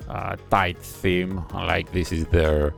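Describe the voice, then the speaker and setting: Male reviewer, very low gravelly voice, analytical chat, soft music behind. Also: fairly even intonation. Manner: analytical, admiring, thinks aloud with pauses. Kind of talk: casual reaction commentary. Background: faint music video playing.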